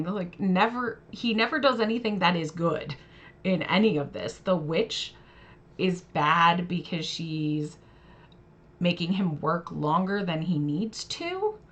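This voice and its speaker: A woman's voice: medium pitch, fairly bright tone. A woman hosting a livestream, talking casually and reflectively, sharing her opinions as commentary. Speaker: livestream host reading and discussing fairy tales. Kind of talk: casual spoken commentary to a live audience.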